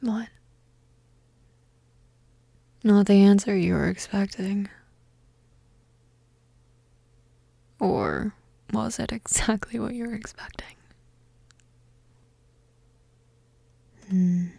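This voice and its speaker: Casting: actress as young goth female roommate for intimate audio roleplay. Mellow, slightly creaky voice, hesitant, teasing and tender, half-whispered. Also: mid-range voice, lively intonation, normal speed, no room sound, exaggerated voice. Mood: soft teasing. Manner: hesitant, gentle, teasing, breathy murmuring.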